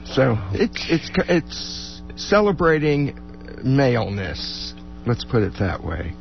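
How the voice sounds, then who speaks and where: A man co-hosting a music radio show in casual, unscripted banter, halting and repeating his words as he goes. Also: medium pitch, huge pitch swings, gravelly, lo-fi sound. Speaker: radio co-host who plays music.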